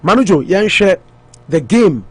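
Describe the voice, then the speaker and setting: A man's voice: sits high, animated, slow pace, husky, no echo. Ghanaian man hosting morning radio. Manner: mixes Twi and English, hesitant with fillers. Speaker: radio morning show host. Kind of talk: casual sports talk on radio.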